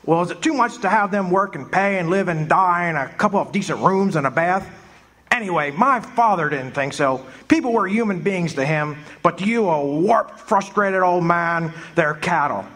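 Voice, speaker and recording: A man's voice: high voice, animated, normal speed, fairly bright tone. A man playing an eager, dreamy young man. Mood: defiant. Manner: eager, rambling, boyish. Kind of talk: live amateur radio-play acting.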